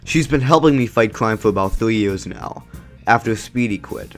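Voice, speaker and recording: A man narrating the action of an amateur superhero audio drama in an even storytelling voice. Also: lowish voice, moderate pace, soft background music.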